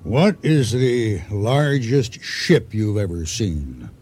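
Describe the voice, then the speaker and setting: A man acting a boastful, scheming sea-adventurer and hijacker in a radio drama, with a deliberate, insinuating delivery full of dramatic pauses. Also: fairly low pitch, big pitch swings, a little mellow, soft background music. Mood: grandly confident. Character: boastful, scheming male sea-adventurer turned ship hijacker, silkily persuasive.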